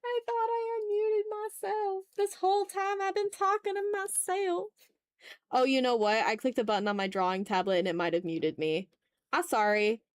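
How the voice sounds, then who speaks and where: A woman's voice: extremely high pitch, normal speed, no echo, studio quality. A woman hosting an art livestream, chatting casually and informally to her viewers.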